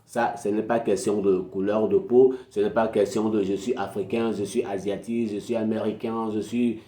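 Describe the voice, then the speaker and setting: A man's voice: on the low side, flat delivery, normal speed, dark tone, echoey room. A man giving an emphatic, preachy motivational talk, repeating phrases for effect. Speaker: motivational speaker. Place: indoor room at a desk with a PC.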